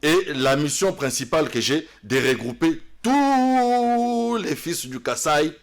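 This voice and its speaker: Animated Congolese man, lively pitch. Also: slow. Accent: Congolese French. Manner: animated, mixes French and Lingala, restarts often. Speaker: politician guest. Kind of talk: interview answers.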